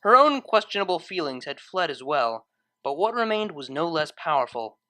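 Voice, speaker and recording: A woman reading a story aloud as narrator, in expressive scripted narration. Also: low-pitched, moderate pace.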